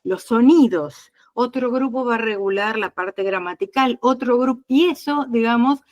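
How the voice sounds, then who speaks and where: A woman's voice: on the high side, moderate pace. A middle-aged woman from Argentina with an Argentine accent, talking casually as an interview guest and explaining at length with many fillers and restarts. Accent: Argentine Spanish. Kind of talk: casual conversation.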